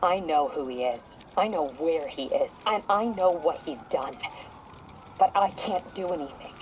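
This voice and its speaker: A woman's voice: deep voice, animated, measured pace, low-quality recording. An actress playing a driven, frustrated police captain confiding tersely in a video game, with slight noise behind her. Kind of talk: video game voice acting, dramatic dialogue.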